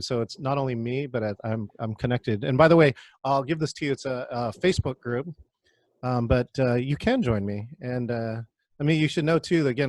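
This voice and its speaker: A man's voice: medium pitch, dry recording. A man from California leading a video call meeting, upbeat and chatty with lots of restarts. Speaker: meeting facilitator. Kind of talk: casual video call hosting.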